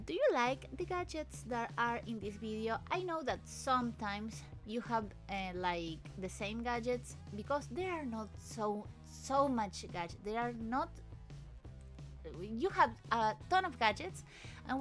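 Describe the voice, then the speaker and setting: A woman's voice: a little high, expressive, measured pace, faint music behind. A woman who is not a native English speaker, talking over video clips as the host of her channel in a hesitant, nervous way, often restarting her sentences, recorded at home in an apartment. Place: apartment in a busy town area with traffic outside.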